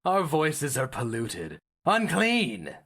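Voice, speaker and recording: A man's voice: a little high, huge pitch swings, slow, fairly bright tone, voice pushed up, full character voice. A man voicing a scornful, fervent, half-mad male sorcerer in a dramatic fantasy story reading, theatrical and hectoring. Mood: mocking, hectoring.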